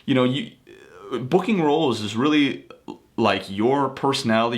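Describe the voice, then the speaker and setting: A man's voice: mid-range voice, a bit bright. A man talking casually and earnestly as an actor sharing motivational tips, repeating key points for emphasis in a conversational way. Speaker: actor giving acting tips. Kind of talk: casual motivational advice talk to viewers. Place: home office.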